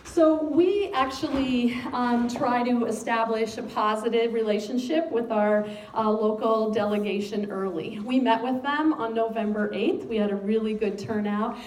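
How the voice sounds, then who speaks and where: A woman's voice: fairly high pitch, moderate pace, hardly any pauses. An American woman, a school superintendent, giving a public update to a civic gathering with many ums. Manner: frequent ums, speaks of having a loud voice. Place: meeting room. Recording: echoey room, distant mic, faint noise.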